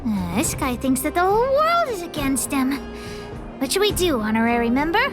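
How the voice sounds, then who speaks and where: A spirited little girl from a game, blunt and childlike. Her high voice is lively, with loud music behind it. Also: fairly quick. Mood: frank, wary. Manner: childlike, frank. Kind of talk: animated game voice acting.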